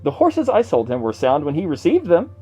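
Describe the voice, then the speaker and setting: A man's voice: on the high side, huge pitch swings, brisk, mellow, slightly rough, soft background music, heavily acted. Man acting an indignant horse seller, wildly swooping delivery. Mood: scornful, indignant. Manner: theatrical, declamatory, scornful. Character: indignant nineteenth-century horse seller hurling accusations of fraud. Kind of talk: dramatic reading of old newspaper notices.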